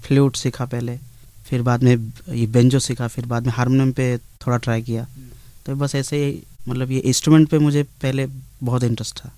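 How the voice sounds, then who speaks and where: A man answering interview questions in a halting, modest, conversational way. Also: medium pitch, little pitch movement, dark tone, dry recording. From India. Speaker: guest singer being interviewed.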